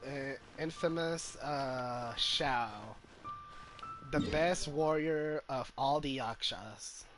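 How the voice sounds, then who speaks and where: A man's voice: a little high, very slow, a bit bright, poor audio. A man giving casual, musing and hesitant commentary as he streams a game playthrough. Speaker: gaming streamer. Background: game music.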